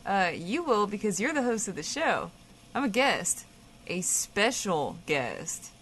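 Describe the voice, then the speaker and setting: An actress playing a blunt, teasing, bossy guest co-host who swears freely in a comedic audio drama. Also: female voice, medium pitch, sing-song, takes it slowly, fairly bright tone, slightly rough, heavily acted.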